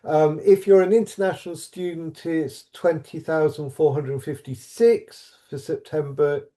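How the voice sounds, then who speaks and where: A man's voice: a little high, steady tone, measured pace, dark tone. A man from a university programme answering questions in a webinar, hesitant and full of fillers and restarts. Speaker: programme staff member.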